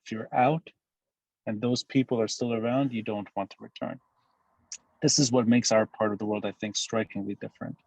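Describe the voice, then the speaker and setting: A man from Lebanon speaking as a guest on a podcast interview, making emphatic, measured points. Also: on the low side, steady tone, creaky, a little mellow, dry recording, rough recording. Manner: measured, emphatic analytical speech. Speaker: guest commentator.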